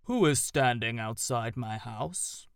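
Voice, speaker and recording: A narrator voicing a stately old male owl who speaks in a formal, measured and aloof way. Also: mid-range voice, lively intonation, clear, resonant tone, no room sound, clean studio sound. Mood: grave, formal.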